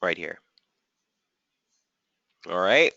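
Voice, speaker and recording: A man hosting a live trading webinar, slightly hoarse, walking through charts and answering viewers' typed questions. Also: deep voice, animated, extremely slow, bright, forceful tone, no room sound, highs cut off. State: slightly hoarse, losing his voice. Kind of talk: live market analysis webinar.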